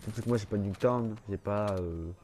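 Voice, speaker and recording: A man's voice: fairly low pitch, brisk, background noise. A man with a blocked, stuffy nose giving casual gameplay commentary, rambling and hesitant, full of fillers and restarts. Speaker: gaming YouTuber.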